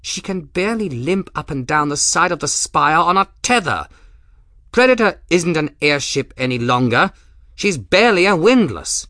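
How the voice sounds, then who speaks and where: Arrogant sneering commodore, raised pitch, loud and contemptuous; a man doing the voice in a dry audiobook. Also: very animated, moderate pace, no echo. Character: sneering arrogant male commodore, haughty and threatening.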